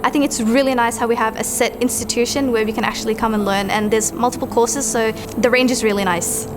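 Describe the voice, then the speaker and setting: Brief: woman, casual and quick, higher voice, music beneath. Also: fairly even intonation. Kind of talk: documentary interview. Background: background music.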